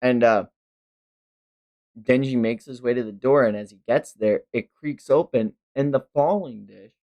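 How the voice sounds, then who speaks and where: A man's voice: mid-range voice, a little slow, warm tone. A man streaming a casual manga read-along, narrating with amused, sweary commentary. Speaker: streamer reading manga aloud.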